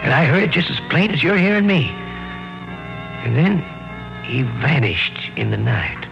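An actor in an old radio drama playing a superstitious rural caretaker, telling things in a halting, folksy, ominous way. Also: male voice, fairly high pitch, big pitch swings, creaky, heavily acted. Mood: haunted, foreboding. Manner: folksy, halting, foreboding storytelling. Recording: muffled vintage radio recording, music behind.

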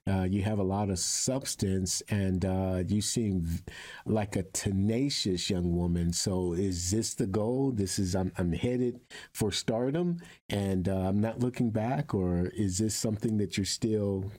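A man's voice: fairly low pitch, steady tone, measured pace, dark tone. An older man interviewing a guest in a casual, conversational style, wordy and effusive as he builds up his questions.

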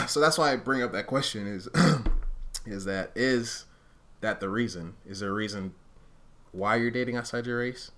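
A man's voice: mid-range voice, unhurried, a bit bright, slight background noise. A man speaking casually and candidly as a podcast co-host, thinking aloud and often hedging as he reasons through his points. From Uganda-born, raised in Rwandan culture.

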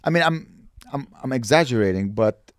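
A man's voice: medium pitch, lively intonation, normal speed, clear, resonant tone, dry recording, clean studio sound. A man co-hosting a comedy podcast in casual chat, riffing freely, swearing and acting out little quotes.

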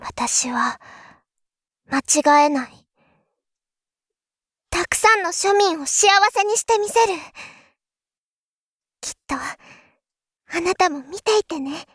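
A woman playing a naive, eager young princess, speaking in a girlish, expressive, confiding way in a Japanese voice drama monologue. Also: extremely high pitch, slow pace, long pauses, no room sound, clean studio sound, exaggerated voice. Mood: solemn, resolute.

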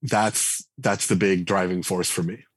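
A man, a game writer and designer, chatting casually as an interview guest, relaxed and full of fillers and restarts. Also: deep voice, a little slow, slightly rough, dry recording. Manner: relaxed, thinks aloud with many fillers and restarts.

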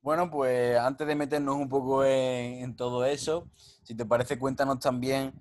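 A man's voice: mid-range voice, little pitch movement, normal speed, no room sound. Man hosting, dry sound. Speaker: podcast host and strength coach. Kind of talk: casual podcast interview chat.

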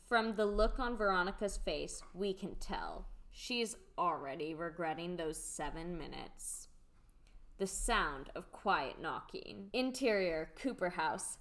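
Female narrator, lower register, reading screenplay scene directions for a radio drama. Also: fairly bright tone. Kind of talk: scripted narration of a radio play script.

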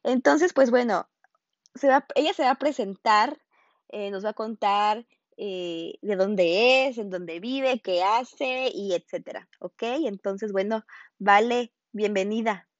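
A woman's voice: medium pitch, slow, dry recording. Woman, podcast host, chatty, fillers and restarts, dull dry recording. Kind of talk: casual podcast chat.

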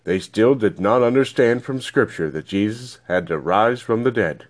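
A man's voice: lowish voice, lively intonation, moderate pace. A man reading scripture aloud as scripted narration. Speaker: Bible reader.

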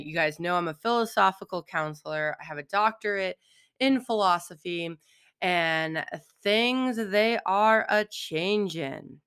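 A woman hosting her own podcast as a philosophical counselor, talking alone with a slightly hoarse, froggy voice and a chatty manner full of "um" and "right?". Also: lowish voice, sharp tone. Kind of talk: solo podcast monologue.

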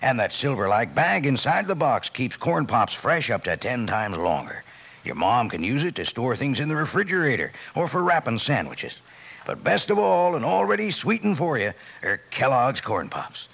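A man's voice: medium pitch, big pitch swings, normal speed, creaky, fairly bright tone. A man announcing a 1950s radio commercial in a folksy, enthusiastic salesman style. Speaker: announcer reading cereal advertisement. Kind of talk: 1950s radio advertising pitch.

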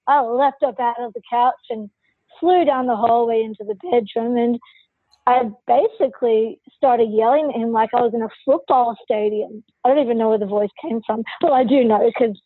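Woman, podcast guest, candid personal storytelling, outspoken, husky, dry close sound. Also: on the high side, moderate pace, dry recording, narrow, tinny audio.

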